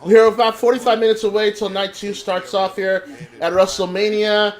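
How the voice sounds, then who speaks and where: A man with a high voice hosts a live reaction show over a voice call. He talks loudly and teases the others. He swears freely. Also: flat delivery, fairly quick. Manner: loud, teasing, swears freely. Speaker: host. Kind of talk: casual live reaction chat.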